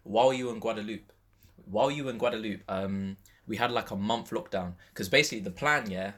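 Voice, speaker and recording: A man's voice: on the low side, brisk, a bit bright. A man talks as a guest in an easygoing conversation. His wording is informal and full of everyday slang. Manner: informal, slangy, frequent "like" as filler. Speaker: podcast guest. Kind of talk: casual chat.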